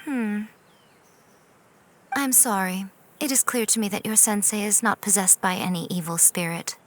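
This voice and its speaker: A woman playing a poised, authoritative head shrine maiden in a video game, calm and deliberate. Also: medium pitch, noisy background, natural voice. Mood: calm, knowing.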